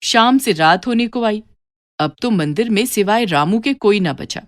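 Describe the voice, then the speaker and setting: A woman's voice: mid-range voice, lively intonation, unhurried, no echo, clean studio sound. A woman narrating a scripted moral story for children as a storyteller.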